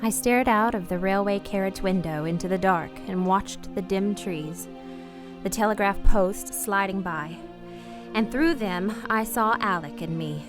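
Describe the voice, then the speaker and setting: A woman's voice: medium pitch, measured pace, over music, lightly acted. An actress playing a wistful, restrained married woman torn by forbidden love in a radio play, speaking earnestly and hesitantly. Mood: dreamy, wistful. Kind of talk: radio play acting with first-person narration.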